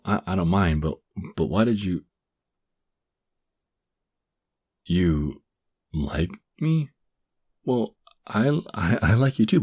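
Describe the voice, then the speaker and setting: A young man acting a shy, flustered guy in love with his best friend in an ASMR roleplay, speaking softly and hesitantly with stammers. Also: deep voice, heavily acted. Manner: hesitant, stammering, breathy sighs and chuckles. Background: crickets chirping.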